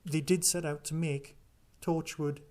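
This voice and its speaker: Chatty male podcast host, slightly high voice. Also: steady tone, a little slow, mellow. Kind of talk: casual solo podcast review and listener chat.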